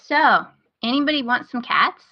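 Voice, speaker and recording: A woman's voice: a little high, animated, normal speed, no echo, lo-fi sound. A woman hosting a podcast in casual chat, giving recommendations in an informal, enthusiastic way.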